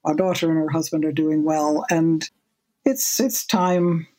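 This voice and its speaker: A woman in her seventies with a low speaking voice, chatting as a podcast guest in a measured, thoughtful way, often repeating a word as she restarts. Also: flat delivery, warm tone. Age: 70s. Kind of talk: casual podcast interview.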